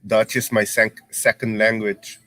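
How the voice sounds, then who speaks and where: Aruban man, native Papiamento speaker, low, slow and patient delivery. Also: level tone, a bit bright. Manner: unhurried, explains patiently. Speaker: live-stream viewer. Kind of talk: casual chat.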